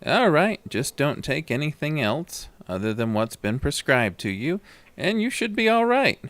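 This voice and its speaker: A man's voice: a little high, big pitch swings, measured pace, dry recording, voice pushed up. A man voicing a concerned pharmacist who gives emphatic, cautioning instructions in an audio horror drama. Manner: alarmed, louder, emphatic warnings. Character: concerned, cautioning male pharmacist behind a counter.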